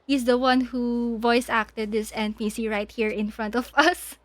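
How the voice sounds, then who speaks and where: A woman's voice: on the high side, steady tone, unhurried, fairly bright tone, no room sound. A woman livestreamer giving casual game commentary, thinking aloud and often repeating her words. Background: faint game music.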